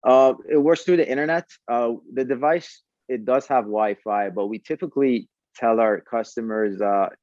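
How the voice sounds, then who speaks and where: A man's voice: mid-range voice, moderate pace, a little mellow, no room sound, highs cut off. A man talking conversationally as a podcast guest, explaining a product and often repeating words as he goes. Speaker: startup founder, podcast guest.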